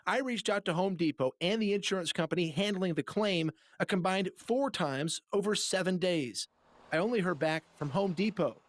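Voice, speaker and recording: A man's voice: high voice, normal speed, no room sound. A man reporting as a TV investigative journalist, reading scripted narration for a news package.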